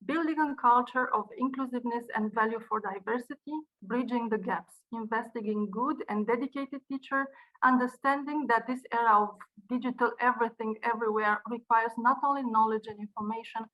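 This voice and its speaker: Woman, Romanian accent, slightly high pitch; earnest, measured prepared address by video call. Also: fairly even intonation, fairly bright tone, rough recording. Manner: earnest, measured delivery with occasional restarts. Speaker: university director giving a speech. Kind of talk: prepared speech.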